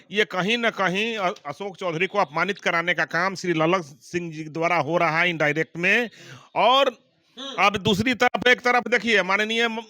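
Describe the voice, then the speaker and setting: A forceful, high-pitched man answers as a political spokesman. His delivery is accusatory and repetitive, in a dry, close recording. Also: dry recording.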